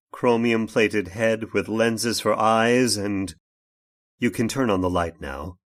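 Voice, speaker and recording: A low-voiced, haunted man played by a male actor tells his horror tale in old radio drama style. He speaks very slowly and haltingly, an anguished soul turned vengeful, leaving dramatic pauses. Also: lightly acted. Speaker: narrator and lead actor.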